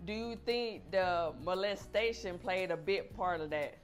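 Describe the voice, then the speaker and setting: A woman hosts an interview in a fairly low voice. She asks brief, plain questions and keeps her acknowledgements short and steady. A little music sits faintly underneath. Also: measured pace. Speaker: podcast host, interviewer.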